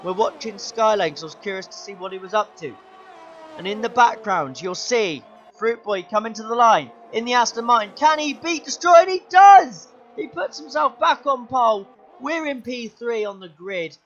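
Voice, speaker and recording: A young man commentating his own sim race, his voice going hoarse, excitable and shouting at the big moments. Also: very high voice, moderate pace, dull audio, a little hiss or hum. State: losing his voice. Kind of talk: gaming commentary.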